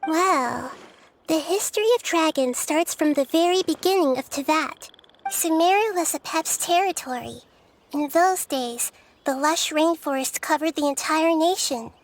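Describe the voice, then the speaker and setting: A woman voicing a gentle, young-sounding goddess who calmly and thoughtfully explains history. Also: high voice, unhurried, slight background noise, rough recording. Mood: calm explaining. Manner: calm, thoughtful, explaining lore. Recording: game audio with faint background music.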